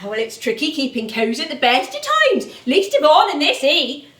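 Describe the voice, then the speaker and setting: An actress playing an older English music-hall comedienne on stage, wistful and chatty, reminiscing in a rambling way. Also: female voice, high voice, huge pitch swings, moderate pace, a bit bright, exaggerated voice. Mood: chirpy, chatty. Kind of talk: theatrical stage monologue and dialogue. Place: theatre stage. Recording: echoey stage, distant microphone.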